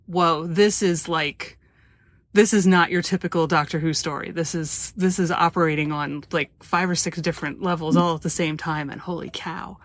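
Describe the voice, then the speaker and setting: A woman's voice: lowish voice, fairly even intonation, normal speed, dry recording. A woman hosting a casual interview, speaking informally and thoughtfully with emphatic phrasing. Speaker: podcast host.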